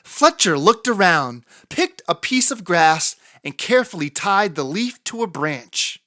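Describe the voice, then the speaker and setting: A woman narrating a children's picture book in an expressive read-aloud style with dramatic emphasis. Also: fairly low pitch, unhurried, dry recording, clean studio sound. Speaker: children's story reader.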